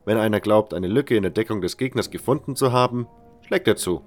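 A man's voice: lowish voice, a little fast, natural voice. A seasoned male sword mentor in a fantasy audio drama, voiced by a male reader. He is instructive and patient, with a dry, mocking, slightly condescending edge. Music plays behind him. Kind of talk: fan audio drama character reading.

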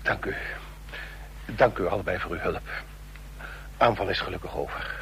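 An actor playing a wily old double agent who poses as a frail salesman, with halting, stammering delivery, in a radio drama. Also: low-pitched, fairly bright tone. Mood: polite, relieved. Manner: halting, stammering, breathy gasps. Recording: noisy old radio play recording.

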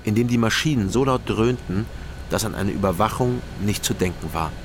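An actor playing a guarded East German investigator in a German radio drama, terse and understated, both narrating and in dialogue. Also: male voice, fairly low pitch, moderate pace, a little hiss or hum, lightly acted. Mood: measured. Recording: music behind the voice.

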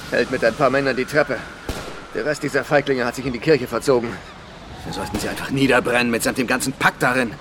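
A man's voice: medium pitch, background noise, lightly acted. A man playing a ruthless, commanding leader of armed survivors in a horror radio drama, scornful and used to giving orders.

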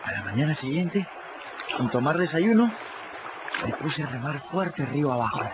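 A man with a Peruvian accent narrating a story in the first person in an expressive, storytelling manner. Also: a little high, moderate pace, background noise. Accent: Peruvian Spanish. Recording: dull audio with music underneath.

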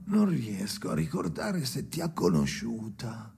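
A haunted, brooding husband in a radio play. His gravelly voice swings widely from muttering to pleading, theatrical and unstable. A noisy ambience sits behind him. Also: lowish voice, wildly swooping pitch, a little slow, heavily acted. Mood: troubled, regretful. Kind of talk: experimental radio drama acting.

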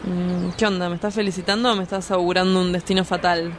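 A woman's voice: fairly low pitch, little pitch movement, fast talker, a little hiss or hum, lightly acted. A woman with an Argentine accent playing a reckless, cynical young male drug dealer in a radio drama, slangy, teasing and insolent. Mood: teasing, defiant. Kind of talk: scripted radio drama acting.

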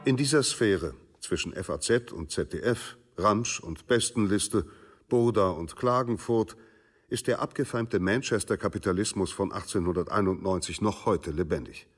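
A man's voice: lowish voice, moderate pace, husky, long reverb tail, soft background music. A man reading scripted literary narration for a radio play.

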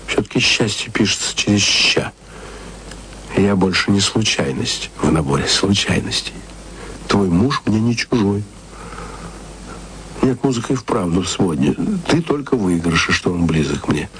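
Slow, deep-voiced, musing lover. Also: male voice, expressive, a bit bright, a little hiss or hum. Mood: confiding, wistful. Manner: slow, theatrical, drawn-out phrasing. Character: tender, musing literate lover speaking to his beloved. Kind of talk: intimate radio-play monologue with recited verse.

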